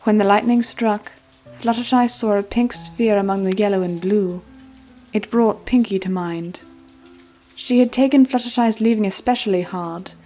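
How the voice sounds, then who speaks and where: A woman's voice: mid-range voice, moderate pace, warm tone. A woman reading scripted storybook narration as the storyteller, with music playing behind her. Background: background music.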